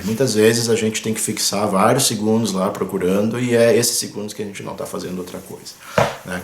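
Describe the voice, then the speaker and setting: Chatty low-voiced man in echoey room. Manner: chatty, fills with "né" and "ó", points at screen. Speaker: pilot and aviation video host. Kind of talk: casual explanatory vlog talk. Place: at home, indoors.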